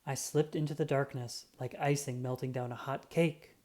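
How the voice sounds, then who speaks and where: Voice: mid-range voice, moderate pace. A storybook narrator reading a children's picture book aloud expressively and dramatically, as a self-important cat detective telling a tale. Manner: expressive, dramatic, acts out sound effects.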